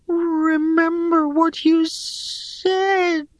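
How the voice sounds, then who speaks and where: A man voicing a frail, aged female tree creature, glum and guilt-ridden, in a slow, thin, quavering falsetto for a fantasy audiobook. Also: level tone, dry recording, full character voice. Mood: anxious urging. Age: older.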